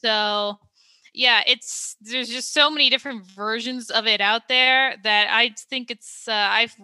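A young adult woman talking casually as a podcast guest, often pausing with ums and restarting her sentences. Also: on the high side, steady tone, bright, forceful tone, no echo. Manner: hesitant, many ums and restarts.

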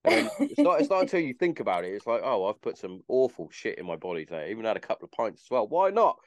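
A man in his mid-thirties talks in a very deep, low register. He is casual and sardonic, dropping swear words into easygoing chat. The sound is close and dry. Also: huge pitch swings, fast talker, no echo. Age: 30s.

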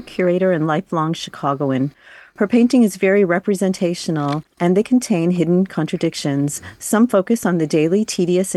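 A woman with a lowish, mellow voice introduces a guest on an arts radio show. She reads in a measured, scripted way, recorded dry and close. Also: dry recording.

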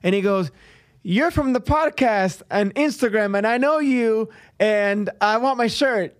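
A man co-hosting a podcast in casual chat, playful and quick to hype things up with Spanish slang. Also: high-pitched. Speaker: podcast co-host.

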